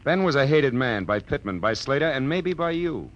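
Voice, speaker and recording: An actor playing a hard-boiled, sardonic American private detective in 1940s radio crime drama style, with terse, tough talk. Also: male voice, mid-range voice, animated, moderate pace, lightly acted. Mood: pressing. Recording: muffled old radio recording, loud music.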